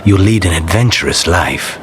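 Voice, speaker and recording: A man's voice: low voice, moderate pace, fairly bright tone, a little hiss or hum. A man voicing a brooding, courteous assassin with a dry, guarded manner in a video game cutscene. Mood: soft, reflective.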